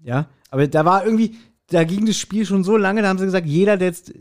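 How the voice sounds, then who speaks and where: A man's voice: high voice, fast talker. A man chatting casually as a podcast co-host, recalling stories in a loose, rambling way as he thinks aloud. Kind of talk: casual chat, personal anecdotes.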